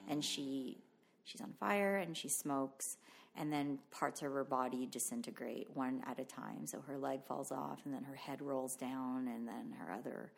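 Woman artist chatting in an interview, low voice with lots of fry, kinda reflective. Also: fairly even intonation, a little slow. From Canada. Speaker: interview guest, visual artist. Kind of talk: casual reflective interview about own work.

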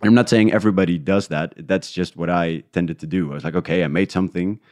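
A man talking casually and reflectively as an interview guest, feeling his way through thoughts with halting restarts. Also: deep voice, fairly even intonation, a little mellow, dry recording, clean studio sound. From Netherlands. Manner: halting, thinking aloud in restarts. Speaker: interview guest, independent podcast creator.

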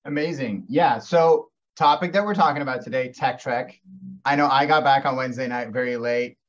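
A man's voice: mid-range voice, moderate pace, highs cut off. A young man co-hosting a casual student podcast, upbeat and enthusiastic as he announces events. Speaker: podcast co-host.

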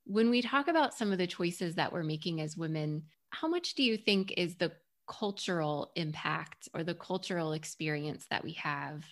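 Low-voiced woman hosting a podcast. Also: expressive, moderate pace, hardly any pauses. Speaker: podcast host. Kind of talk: conversational interview.

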